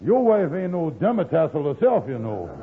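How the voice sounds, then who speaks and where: Blustering, wheedling lodge big shot. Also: male voice, on the high side, very animated, normal speed. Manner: fawning, blustering, trails off muttering. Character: blustering, wheedling, self-important male lodge leader. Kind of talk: 1940s radio comedy acting. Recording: tinny old 1940s radio recording.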